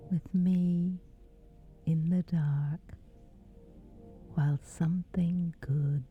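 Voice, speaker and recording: Very slow, whispery woman guiding sleep. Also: low voice. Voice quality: breathy, whispery. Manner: hushed, soothing, gentle, with long pauses. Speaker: sleep meditation guide. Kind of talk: scripted sleep meditation, ASMR-like. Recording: slightly dull, soft music behind. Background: music.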